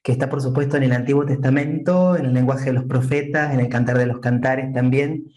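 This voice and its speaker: A man with an Argentine accent, a priest from Entre Ríos, speaking as a guest in a measured, preaching cadence. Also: medium pitch, fairly even intonation, a little mellow. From Argentina, Entre Ríos province. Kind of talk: calm explanatory talk. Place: remote, joining from another country.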